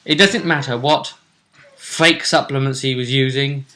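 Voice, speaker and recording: A man hosting a casual recap of a tabletop role-play tournament, telling it like a story with deliberate phrasing. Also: mid-range voice, steady tone, pushed and bright. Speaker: host.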